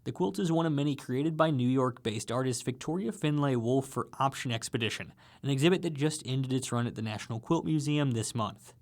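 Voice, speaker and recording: A man's voice: mid-range voice, fairly quick, hardly any pauses. A man narrating a scripted radio feature as a reporter.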